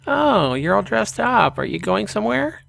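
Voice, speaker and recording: A man's voice: high voice, sing-song, normal speed, creaky, fairly bright tone, voice pushed up, heavily acted. A man voicing a doting, teasing middle-aged mother in a visual novel, coddling her child. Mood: teasing, fond. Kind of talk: visual novel character reading.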